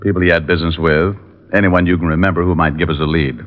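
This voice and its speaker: An actor playing a laconic, hard-boiled detective, dry and terse, in an old radio crime drama. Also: male voice, sits low, fairly even intonation, fast, close to an everyday voice. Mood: matter-of-fact. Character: laconic hard-boiled male detective narrating his case. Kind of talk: 1940s-50s radio detective narration and dialogue. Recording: dull, band-limited old radio recording.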